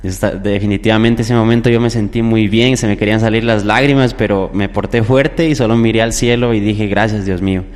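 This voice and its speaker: A man's voice: lowish voice, fairly even intonation, a little fast, few pauses, darkish tone. A young man from Ecuador, a singer telling stories conversationally as an interview guest. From southern Ecuador. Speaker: musician guest, popular music singer. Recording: echoey hall-like reverb.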